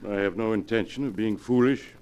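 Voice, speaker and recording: An actor playing a courteous scientist in a 1940s radio drama, speaking formally in measured, well-chosen words. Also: male voice, lowish voice, darkish tone, clear, resonant tone, close to an everyday voice. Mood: composed. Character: calm, polite scientist professor with a secret. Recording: vintage 1940s radio recording.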